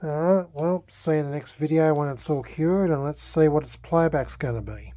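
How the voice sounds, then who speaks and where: A man's voice: fairly high pitch, moderate pace, mellow, no room sound. A man with an Australian accent talking casually and unscripted in a hobby restoration vlog, hesitant, laid-back and self-deprecating. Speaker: vlogger.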